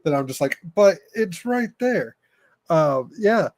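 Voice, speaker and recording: Slightly higher-voiced man hosting a podcast. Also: big pitch swings, slow pace. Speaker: podcast host. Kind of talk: casual interview chat.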